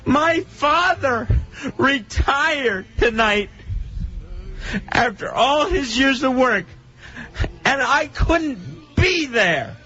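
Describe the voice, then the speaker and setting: Very high, tense, slow-talking man. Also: animated, sharp tone. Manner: halting, emphatic, upset. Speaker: radio host. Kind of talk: emotional personal monologue on radio. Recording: dull lo-fi radio recording.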